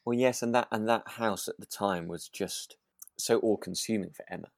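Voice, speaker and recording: A man co-hosting a relaxed discussion podcast, thinking aloud in a hesitant, rambling way. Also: on the low side, normal speed, vocal fry, no room sound, clean studio sound. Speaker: podcast co-host. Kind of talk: relaxed chat reviewing a radio drama.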